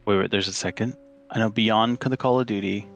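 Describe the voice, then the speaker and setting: Low, gravelly man from Chile. Casual guest, rambles through stories with faint music underneath. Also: fairly even intonation, brisk. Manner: rambling storyteller, many fillers and restarts. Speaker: podcast guest. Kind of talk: casual interview chat.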